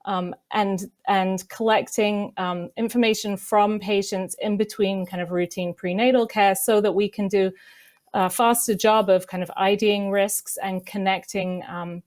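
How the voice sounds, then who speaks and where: Measured woman explaining health research. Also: mid-range voice, a little mellow. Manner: measured, explanatory, with many "kind of" fillers. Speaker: medical professor, panelist. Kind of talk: panel discussion answers.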